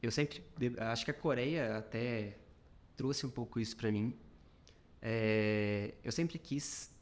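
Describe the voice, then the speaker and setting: A man's voice: medium pitch, takes it slowly. A man with a Minas Gerais accent, a company founder being interviewed on a podcast, speaking reflectively with many fillers and short clauses. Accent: Minas Gerais Brazilian Portuguese. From Brazil.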